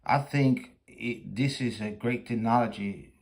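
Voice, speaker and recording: A man with a Spanish accent chats casually on his stream. He talks slowly in a mid-range voice and stops often to hunt for words. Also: medium pitch. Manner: relaxed, halting, full of fillers. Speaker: livestream host. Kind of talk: casual chat with an AI.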